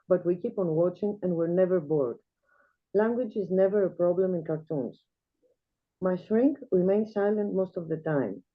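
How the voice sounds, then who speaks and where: A woman from Greece, reading her own flash fiction aloud in a measured, quiet way as a guest reader. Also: lowish voice. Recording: tinny video-call audio.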